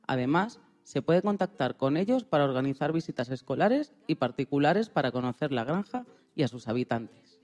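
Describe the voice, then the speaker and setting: Higher-pitched man narrating on radio. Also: very animated, normal speed, a little mellow. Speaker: radio co-presenter.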